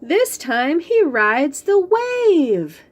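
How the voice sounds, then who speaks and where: A woman reading a picture book aloud to young children, slow and expressive, then chatting about the books. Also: high-pitched. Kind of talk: read-aloud storytime for young kids.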